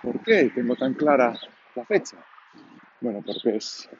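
A man's voice: mid-range voice, big pitch swings, measured pace. A man of 49 from Catalonia with a Catalan accent in his Spanish, hosting a solo podcast in an informal, unscripted monologue, thinking aloud as he rambles. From Catalonia, Spain. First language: Catalan, alongside Spanish. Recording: dull lo-fi home recording, clear background noise.

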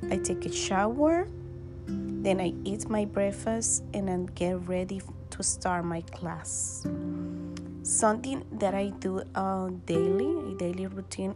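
A slow-speaking woman from the Dominican Republic introduces herself for English class, pausing and searching for words. Her voice sits a little low, and clear guitar music plays behind her. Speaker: English student. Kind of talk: self-introduction with fillers.

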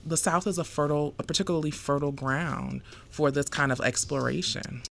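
A man with a Northern US accent, a guest speaking in a thoughtful, measured way in a casual discussion. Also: fairly high pitch, creaky, very noisy.

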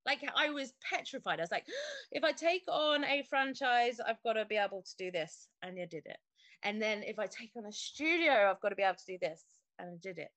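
Halting woman, dry close sound. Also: on the high side, expressive, fairly bright tone, no room sound. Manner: halting, stops and restarts often, open and heartfelt. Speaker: interview guest, fitness studio owner. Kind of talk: candid personal interview.

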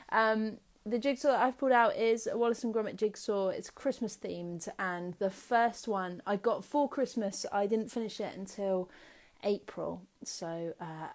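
A woman's voice: mid-range voice, fairly even intonation, normal speed, hardly any pauses. A woman hosting an Instagram Live, chatting casually and rambling with lots of ums. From England. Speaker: host of an Instagram Live interview. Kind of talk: casual livestream chat. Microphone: phone or laptop on a live stream.

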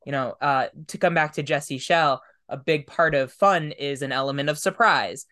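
A man's voice: a little high, normal speed, bright, forceful tone. A man hosting a podcast interview in a casual, conversational style.

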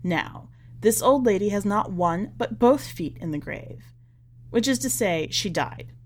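A woman narrates fiction in an expressive, scripted style. Her medium-pitched voice moves in lively, wide swings. She places emphasis deliberately, with a wry storyteller's touch. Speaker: podcast host and story narrator.

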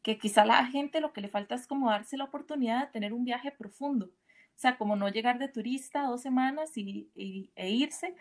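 A woman from Rica, interviewing a guest on a video call. She asks musing questions and laughs easily. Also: on the high side. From Costa Rica. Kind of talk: casual video call conversation.

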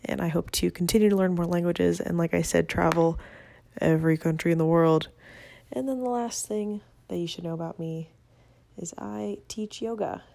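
Chatty woman from Venezuela, gravelly low voice. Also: mellow. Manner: chatty, upbeat, self-deprecating. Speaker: podcast host. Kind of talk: casual solo podcast monologue. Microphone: phone app, no proper mic.

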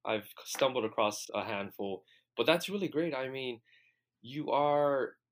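A man's voice: medium pitch, expressive, measured pace. A man hosting an interview, asking rambling questions and enthusiastically encouraging his guest. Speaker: host. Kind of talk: casual interview conversation.

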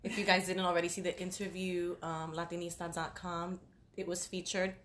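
Bright-toned female co-host. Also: lowish voice, level tone. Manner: chatty, affirming, quick to laugh. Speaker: podcast co-host. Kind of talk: casual podcast chat.

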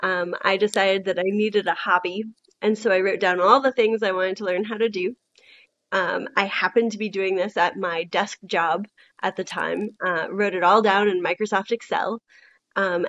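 A woman's voice: medium pitch, a bit bright, lo-fi sound. A woman who teaches blacksmithing, giving friendly, somewhat hesitant answers as a podcast guest. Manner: friendly, hesitant, many ums. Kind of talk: interview answers.

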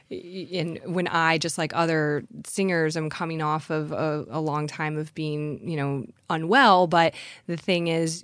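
A woman's voice: low voice, no echo, studio quality. A woman singer and guest in a casual interview, recovering from a cold and rambling with many fillers and laughs. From Washington DC area. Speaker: singer in an acoustic duo, guest. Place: studio.

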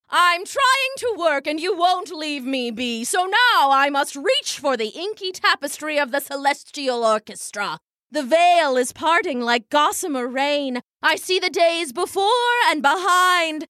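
A grandiose fortune-teller woman, as a storybook narrator puts her on, intoning her prophecies with loud stage bellows. Her voice rides high and swoops widely, with the timbre pushed away from plain speech. The sound is dry and close. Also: no echo, exaggerated voice. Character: pompous theatrical female soothsayer.

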